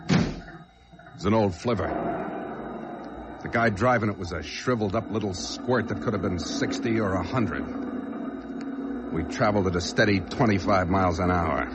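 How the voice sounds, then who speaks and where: An actor playing a desperate, whining small-time crook on the run in an old radio crime drama, stammering, pleading and intense. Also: male voice, low-pitched, moderate pace, pauses often, lightly acted. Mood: bitter, brooding. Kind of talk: old radio crime drama, dialogue and first-person narration. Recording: noisy vintage radio drama recording.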